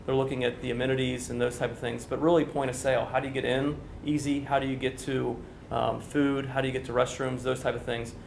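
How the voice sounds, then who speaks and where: A man's voice: medium pitch, level tone, fast talker, creaky, hardly any pauses, slight background noise. A man in his forties, a construction official, giving businesslike answers at a press briefing in an indoor conference room, speaking into a standing mic. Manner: explanatory, businesslike, with many fillers. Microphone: standing microphone, TV camera. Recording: echoey room.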